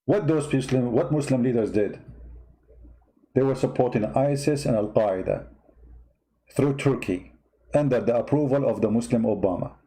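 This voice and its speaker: A man's voice: mid-range voice, normal speed, pauses often, dark tone, rough recording. A man with a foreign accent who is not a native English speaker, giving heated, mocking political commentary and firing off repeated rhetorical questions. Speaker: host commentator.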